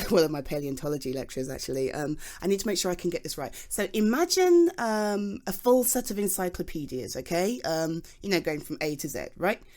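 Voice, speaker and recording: A woman's voice: on the low side, lively intonation, a little fast, darkish tone, lightly acted. A British woman playing a flustered, chatty geology nerd in an ASMR roleplay, explaining things in a rambling, hesitant, eager and informal way. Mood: flustered, thoughtful.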